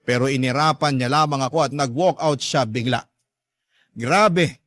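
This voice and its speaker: A man's voice: medium pitch, moderate pace, fairly bright tone, no room sound, clean studio sound, lightly acted. A man playing an aggrieved young letter writer with a Filipino accent, narrating a story earnestly and emphatically. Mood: exasperated. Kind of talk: dramatic first-person story narration.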